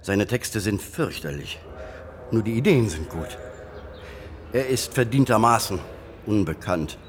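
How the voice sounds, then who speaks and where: An actor playing a bookish, wry hospital patient in a radio play, explaining things with dry opinions. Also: male voice, lowish voice, animated, unhurried. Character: bookish, wry male hospital patient, science fiction enthusiast.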